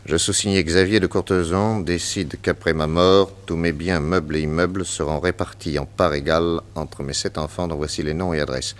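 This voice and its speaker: An actor playing a shrewd French detective, smooth, probing and brisk, in a 1960s radio serial. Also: male voice, deep voice, steady tone, slight background noise, close to an everyday voice. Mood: reading, businesslike.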